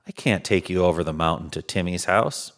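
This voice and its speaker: A man's voice: low-pitched, a little fast, a bit bright, pitched below the performer's own voice. A man voicing a small, polite, apologetic toy train engine in a children's storybook reading. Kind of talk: children's storybook read-aloud.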